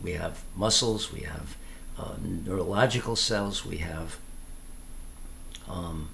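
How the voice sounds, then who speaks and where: A man thinking aloud in an informal explanatory monologue, halting and reflective, often pausing mid-phrase. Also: low voice, creaky, bright, forceful tone, slight background noise. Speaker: tai chi teacher.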